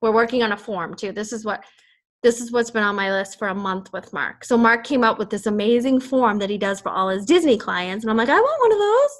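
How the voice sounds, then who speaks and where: A woman's voice: fairly high pitch, expressive, a little fast. A woman with a Boston accent leading a casual team call, chatty and rambling, laughing often. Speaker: fitness team leader.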